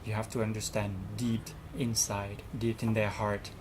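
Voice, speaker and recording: A man's voice: on the low side, level tone, normal speed, noisy background. A young man whose first language is Thai, answering interview questions earnestly as a student representative, with many fillers and restarts.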